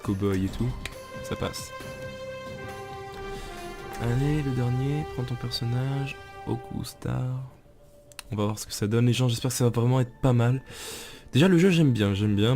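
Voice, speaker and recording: Casual male gamer with a cold, slangy and excitable, loud game music behind. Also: medium pitch, moderate pace. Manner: slangy, excitable, talks to viewers. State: has a cold. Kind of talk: casual gameplay commentary. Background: game music and sounds.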